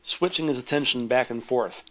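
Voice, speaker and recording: A man's voice: medium pitch, normal speed, bright, forceful tone. A man reading scripted, descriptive narration for an audio drama. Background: prominent music.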